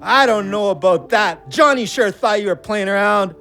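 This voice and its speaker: A hot-tempered ex-convict in an audio drama, resentful and on the defensive. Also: male voice, high voice, normal speed, pushed and bright, soft background music. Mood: scornful.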